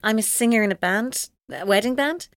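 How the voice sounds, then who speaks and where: A woman's voice: a little high, expressive, moderate pace, noisy background. An actress playing a vengeful, unstable woman, long bullied, in an audio drama. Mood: guarded, polite.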